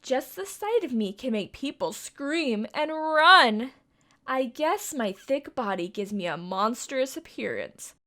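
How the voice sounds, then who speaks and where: A woman's voice: high voice, sing-song, slow pace, pushed and bright. A young woman reading a picture book aloud to children, with a sing-song, coaxing way of asking the listeners questions. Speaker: children's storytime reader.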